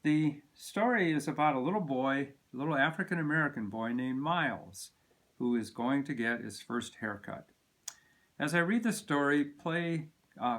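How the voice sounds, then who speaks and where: A man reading a children's story aloud as a volunteer, in an expressive, deliberate manner for young listeners. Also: medium pitch.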